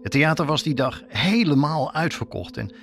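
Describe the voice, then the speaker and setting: A man's voice: on the high side, expressive, moderate pace, a bit bright, soft background music. Male reporter narrating a documentary. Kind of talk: scripted documentary podcast narration.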